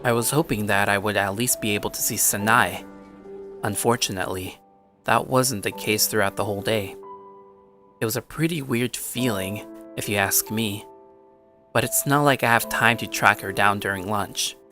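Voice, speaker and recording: A man's voice: lowish voice, moderate pace, sharp tone, soft background music. Man acting an earnest, anxious schoolboy narrator. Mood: resigned, reflective. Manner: conversational, introspective. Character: earnest, anxious teenage schoolboy narrating his troubled friendships. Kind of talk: anime-style audio story, first-person scripted narration.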